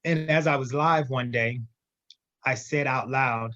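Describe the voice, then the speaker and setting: A man telling his story as a podcast guest, emphatic and lively. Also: medium pitch, a little slow, no echo, muffled recording. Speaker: guest entrepreneur. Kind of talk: casual interview storytelling.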